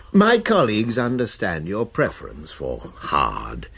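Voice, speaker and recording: A persuasive college master. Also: male voice, fairly low pitch, wildly swooping pitch, takes it slowly, creaky, a bit bright, slightly rough, exaggerated voice. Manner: diplomatic, persuasive. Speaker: head of a college.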